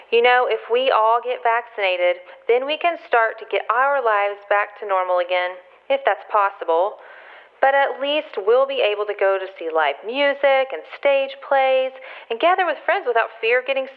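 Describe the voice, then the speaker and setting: Hopeful female voicemail caller for radio play played by a woman, crisp voice, narrow phone sound. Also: a little high, lightly acted. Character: Patty, polite hopeful woman leaving a voicemail. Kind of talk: scripted radio play acting.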